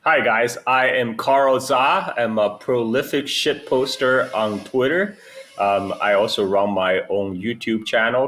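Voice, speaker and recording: A man's voice: lowish voice, a bit bright, slight background noise. A man in his forties from China, a podcast guest chatting over a video call, rambling with many restarts. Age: 40s. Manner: rambling, lots of restarts and fillers. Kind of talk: casual chat.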